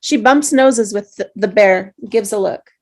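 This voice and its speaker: A woman narrating the stage directions in a table read, with steady, measured script reading. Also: medium pitch. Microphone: video call.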